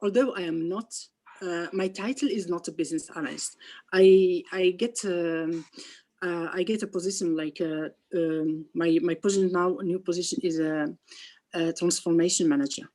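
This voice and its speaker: Interview guest, woman, first language Arabic, low-pitched, hesitant and full of restarts, video call. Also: fairly even intonation, warm tone. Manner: halting, many restarts and fillers. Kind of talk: casual interview conversation.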